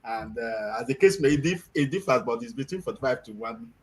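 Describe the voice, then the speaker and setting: A man from Nigeria on an interview panel, explaining slowly and deliberately with fillers. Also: mid-range voice, expressive, husky. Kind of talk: casual conversation. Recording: crowd chatter in background.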